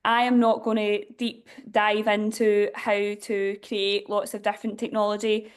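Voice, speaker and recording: A woman's voice: mid-range voice, little pitch movement, unhurried, clear, resonant tone. A woman in her thirties from Scotland with a Glasgow accent, presenting at a parish workshop in a conversational, explaining way with frequent fillers. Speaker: diocesan youth director.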